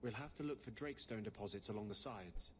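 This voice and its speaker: A man's voice: lowish voice, fairly quick, husky. An actor playing a male adventuring companion in scripted fantasy video game dialogue. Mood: wry, practical. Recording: quiet, distant game audio with noise.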